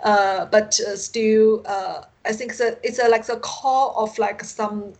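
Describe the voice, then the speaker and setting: A woman with a Chinese accent and a medium-pitched voice talks casually as an interview guest over an internet call. Chinese is her native language, so her English comes haltingly as she searches for words, and she often chuckles. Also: steady tone, a little mellow. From China. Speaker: interview guest, science fiction writer and translator.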